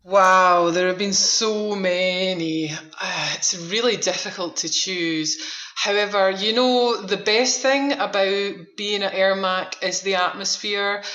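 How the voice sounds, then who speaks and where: A woman's voice: medium pitch, takes it slowly, fairly bright tone, echoey room, muffled recording. A woman speaking as a guest in a radio interview, enthusiastic and emphatic, often repeating words for emphasis. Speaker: veterans' activity centre manager, interview guest.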